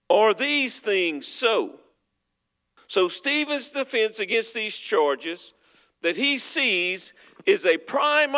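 A man's voice: high voice, pushed and bright. A man preaching a sermon as pastor, earnest and emphatic as he works through scripture. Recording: long hall reverb.

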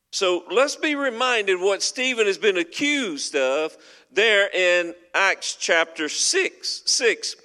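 A man's voice: on the high side, bright, forceful tone. A man preaching a sermon as pastor, earnest and emphatic as he works through scripture. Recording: long hall reverb.

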